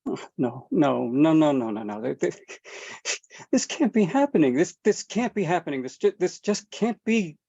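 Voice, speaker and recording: A man's voice: fairly high pitch, sing-song, moderate pace, creaky, dark tone, breathy edge, full character voice. An actor reading a panicked fifteen-year-old boy who stammers nervously at a table read. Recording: dull lo-fi sound.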